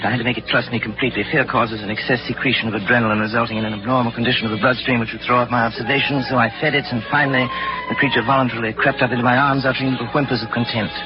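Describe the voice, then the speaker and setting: A man's voice: mid-range voice, steady tone, very fast, lightly acted. Man acting an obsessed scientist in old radio drama. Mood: grave, cold. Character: obsessed, arrogant man of science, cold and excitable. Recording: dull 1940s radio recording with music.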